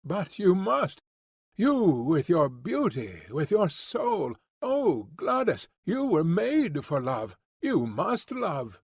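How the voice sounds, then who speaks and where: An eager young suitor, read by a man in an audiobook, pleading and impulsive. The voice is slow and husky, with pitch that swings lively up and down. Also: dry recording, clean studio sound, exaggerated voice.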